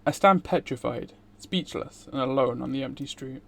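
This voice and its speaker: A man's voice: medium pitch, moderate pace, vocal fry. A man streaming a game, reading a visual novel aloud.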